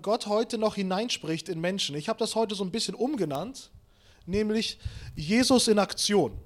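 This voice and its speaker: A man in his late twenties, originally from Kazakhstan, preaching a sermon with lively storytelling and self-mocking humor while walking around. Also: high voice. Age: 20s. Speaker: pastor.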